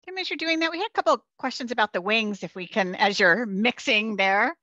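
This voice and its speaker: A woman's voice: on the high side, brisk, bright, forceful tone, no echo. A middle-aged woman moderating a live online class, casually relaying questions over a headset on a video call. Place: home room, indoors. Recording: slightly muffled video-call headset sound.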